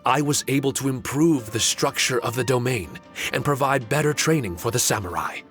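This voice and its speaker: A man voicing a courteous, solemn ancient guardian spirit in an anime game, speaking formally and in a measured way. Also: medium pitch, a bit bright, faint music behind, close to an everyday voice. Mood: solemn, reflective.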